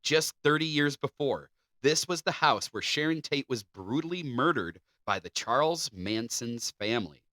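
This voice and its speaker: A man's voice: mid-range voice, animated, normal speed, pushed and bright, no room sound, studio quality. A man co-hosting a podcast, reading articles aloud with casual banter. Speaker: podcast co-host.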